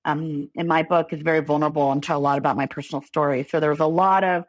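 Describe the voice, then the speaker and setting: A woman's voice: low voice, steady tone, quick pace, no room sound. A middle-aged woman with an American accent, telling her story conversationally as a podcast guest. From Los Angeles, California. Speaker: podcast guest, author and lawyer. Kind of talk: conversational interview, personal storytelling.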